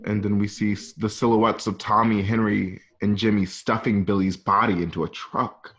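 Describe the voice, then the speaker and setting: Male narrator for a script table read: fairly low voice, reading scene directions, slightly dull lo-fi home recording. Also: flat delivery, normal speed, a bit bright.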